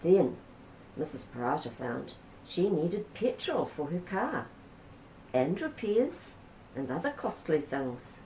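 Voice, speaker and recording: A woman with a New Zealand accent reading a children's picture book aloud in a gentle, unhurried way. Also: deep voice, sing-song, slight background noise. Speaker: storybook reader. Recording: roomy echo.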